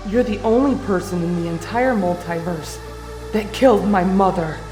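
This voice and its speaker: A woman voices a cold young female warrior bent on revenge in an anime fan drama. Her delivery is deliberate and accusing at a middle pitch. The sound is echoey, with light music behind. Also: natural voice. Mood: accusing, vengeful.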